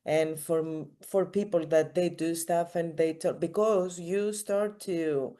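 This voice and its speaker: Unhurried woman host. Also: fairly low pitch, fairly even intonation, warm tone. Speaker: interview host. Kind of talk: casual interview conversation.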